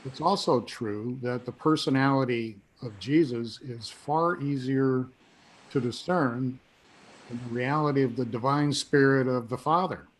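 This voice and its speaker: A hoarse man taking part in a webinar over a video call, his voice quiet and trailing off. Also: medium pitch, a little slow, a little hiss or hum, rough recording. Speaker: webinar participant. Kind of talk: casual discussion on a video call.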